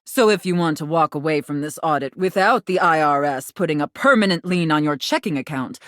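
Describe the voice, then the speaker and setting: A woman's voice: on the low side, no room sound, studio quality, close to an everyday voice. A woman playing a haughty, domineering, condescending mistress in a video game, speaking with formal, imperious, disdainful diction. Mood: stern, officious. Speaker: voice actor in a dating simulator game. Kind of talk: theatrical video game character acting.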